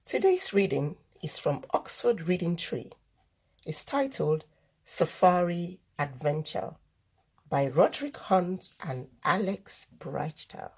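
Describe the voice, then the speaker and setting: A woman reading a children's story aloud as host, in deliberate, expressive narration. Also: fairly low pitch, gravelly, breathy edge.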